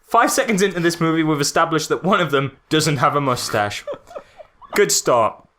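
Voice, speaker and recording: A man's voice: fairly high pitch, lively intonation, moderate pace, sharp tone. A man co-hosting a podcast, casually critiquing a film in a sarcastic way. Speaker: podcast co-host.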